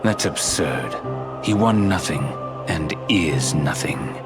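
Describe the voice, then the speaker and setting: An actor playing a cold, scornful, calculating villain in a video game, with a grave and dismissive delivery. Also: male voice, extremely low pitch, lively intonation, slow, full character voice. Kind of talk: video game cutscene acting. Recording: loud music behind.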